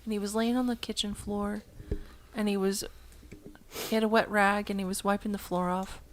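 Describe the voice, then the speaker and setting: A woman's voice: mid-range voice, little pitch movement, normal speed. Teasing woman in casual chat. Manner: teasing, laughs a lot. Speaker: podcast co-host. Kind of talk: casual chat among friends.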